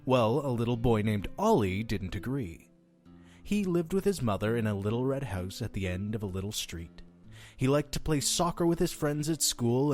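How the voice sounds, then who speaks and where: An effusive, theatrical male innkeeper acted by a man in an audio drama. His pitch swings widely as he chats on, pushy and mysterious, over music. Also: darkish tone. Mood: gentle storytelling.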